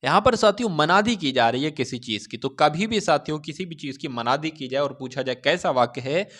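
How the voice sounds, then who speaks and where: A male coaching teacher with a somewhat high voice. He speaks as if to a class of exam aspirants, posing questions and then explaining the answers. He often turns to the listeners with short check-in phrases. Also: lively intonation, fairly quick, fairly bright tone. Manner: instructive, repeatedly asks questions and answers them himself; addresses listeners directly with repeated check-in phrases. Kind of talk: Hindi grammar lesson for exam aspirants.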